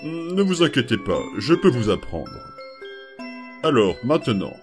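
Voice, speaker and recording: A kindly adult skeleton, played by a man, coaxes strange little ones with hesitant, halting patience. His middle-pitched voice swings up and down expressively. It is a fan comic dub with faint music underneath. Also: sing-song. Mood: gentle. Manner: hesitant, soft-spoken, full of small pauses and fillers. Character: gentle patient adult male skeleton caring for strange children.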